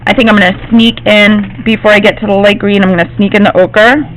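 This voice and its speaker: A woman art teacher, slightly hoarse from losing her voice, gives a classroom demonstration and often checks in with her students. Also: medium pitch, fairly even intonation, brisk, poor audio. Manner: reassuring, checks in with "okay?".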